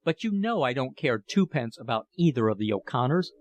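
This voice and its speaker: An awkward young man of twenty answers politely but a little sulkily, as a man reading the story voices him. The voice stays near the reader's own, at a middle pitch. It is dry and close. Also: very animated, brisk, no echo, close to an everyday voice. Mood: apologetic.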